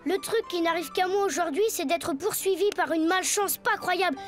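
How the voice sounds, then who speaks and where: A woman's voice: very high-pitched, normal speed. A woman voicing an earnest, anxious young boy in a cartoon, hesitant and given to declaiming. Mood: apologetic. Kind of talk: animated cartoon acting. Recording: music under the voice.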